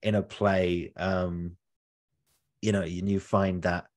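Man with a very deep voice. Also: level tone, takes it slowly, darkish tone. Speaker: podcast host. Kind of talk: casual podcast chat.

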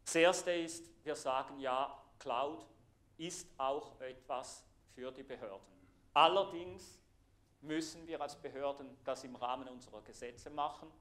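A middle-aged man from Thurgau with a Swiss German accent, giving an earnest conference presentation in a lecture room with plenty of filler pauses. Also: medium pitch, fairly bright tone. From Thurgau, Switzerland. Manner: earnest, explanatory, pausing with fillers. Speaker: IT architect giving a conference talk. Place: lecture room, standing before a projected slide.

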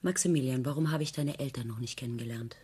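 An actress playing an ironic, poised newlywed wife who needles and probes in a radio play. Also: female voice, rumbling low, quick pace, mellow, slightly rough, heavily acted. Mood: probing. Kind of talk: German radio play acting.

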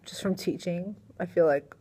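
A woman's voice: lowish voice, normal speed, mellow, slightly rough. A young woman teacher, tired and a little strained in her voice, rambling casually into a laptop webcam in a small classroom. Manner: rambling, filler-heavy, sighing. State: tired and sleepy. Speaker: middle school science teacher. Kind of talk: casual video diary. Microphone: laptop webcam at desk.

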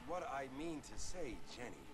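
A man playing a patronizing, pompous preacher with a halting, correcting manner in game cutscene dialogue. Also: medium pitch, big pitch swings, natural voice. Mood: flustered, patronizing. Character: stuffy, patronizing preacher, flustered by questions.

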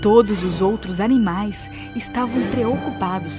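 A woman's voice: mid-range voice, unhurried. A woman narrating a scripted children's story. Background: music.

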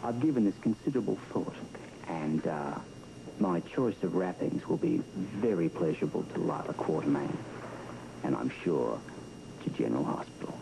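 A man's voice: low-pitched, expressive, moderate pace, gravelly. A man in his thirties acting in a TV soap as a methodical, cautious schemer, talking in a clipped, businesslike way. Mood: cautious, assured. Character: methodical male schemer planning a covert switch, impatient and cautious. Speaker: soap opera actor. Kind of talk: television soap opera dialogue. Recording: noisy worn VHS tape of television.

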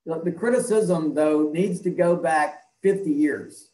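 A man's voice: a little high, measured pace, dark tone. Older man, echoey video call. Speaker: interview guest, health clinic leader and doctor. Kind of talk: conversational interview answers. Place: indoor room, video call.

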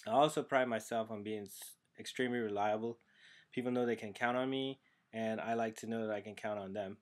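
A man from Trinidad and Tobago, a young adult to his thirties, giving a prepared class video essay, earnest and steady, with some "uh" fillers, recorded on a webcam in a small room at home. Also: lowish voice, fairly quick. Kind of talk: prepared personal presentation. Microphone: built-in webcam microphone, close framing.

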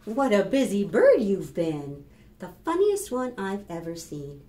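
A woman in her fifties reads a picture book aloud to preschoolers, expressive and instructive, addressing the children directly. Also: mid-range voice, a little slow. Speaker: children's storytime librarian. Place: small plain room indoors. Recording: roomy echo, faint background noise.

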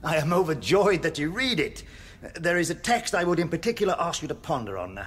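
An actor playing a zealous, pompous prison chaplain in a radio drama, with a declamatory way of preaching. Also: male voice, fairly high pitch, big pitch swings, brisk, a bit bright, husky, heavily acted. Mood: pious, kindly. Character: fire-and-brimstone prison chaplain, zealous, pompous, troubled by doubt.

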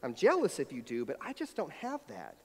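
A man's voice: a little high, wildly swooping pitch, brisk, slightly rough. An American man in his thirties, a pastor giving a conversational, personal sermon with a reflective, storytelling manner at a church pulpit. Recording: long hall reverb from church sanctuary.

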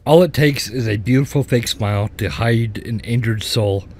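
Halting, rambling man in his thirties. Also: medium pitch, a little mellow. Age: 30s. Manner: rambling, halting with many restarts. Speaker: podcast host. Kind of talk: casual personal storytelling.

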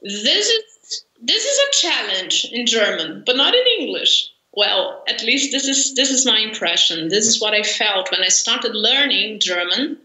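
A woman in her forties with a Brazilian Portuguese accent talks slowly on a video call, pausing often with fillers and laughing easily. Her voice is a little high and very bright. The webcam audio is roomy and echoey. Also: sing-song. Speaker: interview guest, language learner. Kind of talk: conversational interview. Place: home room, indoors.

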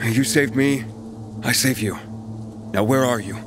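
A young man as a gruff, determined game hero, played by an actor. He talks in terse, serious demands at a middling pitch, with very loud music behind him. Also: unhurried, close to an everyday voice. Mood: concerned, firm. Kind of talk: dramatic video game acting.

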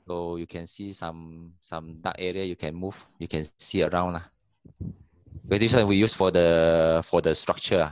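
Guy, deep low voice, casual talk on a video call, halting, dry mic. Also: no room sound. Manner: halting, searches for words. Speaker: cave explorer presenting. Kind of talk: casual online presentation.

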